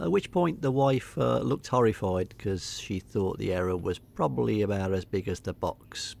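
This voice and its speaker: A middle-aged man with an English accent giving a casual, unscripted voice-over walkthrough, hesitating and pausing often. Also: fairly low pitch, vocal fry, darkish tone. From England. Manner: hesitant, unscripted delivery with many pauses. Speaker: hobbyist video narrator.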